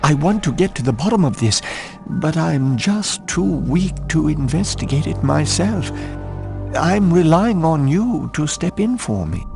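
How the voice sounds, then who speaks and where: An actor playing an ailing, bedridden aristocratic war veteran in a video game, gravelly, weary and dramatic, with halting delivery. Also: male voice, on the high side, big pitch swings, heavily acted. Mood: troubled. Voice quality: gravelly, creaky. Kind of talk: video game cutscene dialogue. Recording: music behind the voice. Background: game soundtrack music.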